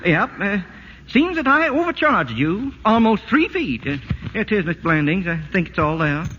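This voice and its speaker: An actor playing a plainspoken, honest rural tradesman, talking in a halting, folksy drawl in a 1940s radio play. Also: sits high, big pitch swings, a bit bright, a little hiss or hum. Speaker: tradesman collecting a bill. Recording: dull old radio broadcast with reverb.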